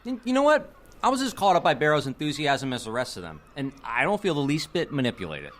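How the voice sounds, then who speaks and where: Irrepressible young man with swooping pitch. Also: big pitch swings, fast talker. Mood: defiant, motivated. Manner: eager, persuasive, playful. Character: irrepressible, smooth-talking young theater director. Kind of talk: audio drama dialogue.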